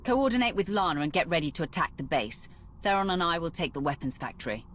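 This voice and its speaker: An actress playing a ruthless, sardonic, battle-hardened warrior commander in a video game cutscene, with a dry, menacing delivery. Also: female voice, lowish voice, expressive, fast talker, fairly bright tone, slight background noise. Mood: commanding.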